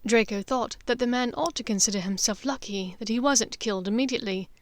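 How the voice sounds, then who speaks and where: High-pitched woman narrating a story. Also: a little fast. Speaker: audiobook narrator. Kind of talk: scripted dramatic story narration.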